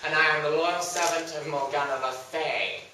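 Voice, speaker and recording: Villainous knight, acted by a storyteller, sneering, grandiose, very sharp forceful voice, heavily echoing room. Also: mid-range voice, fairly even intonation, normal speed, close to an everyday voice. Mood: mocking menace. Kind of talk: theatrical live storytelling. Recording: very echoey room, distant mic, faint noise.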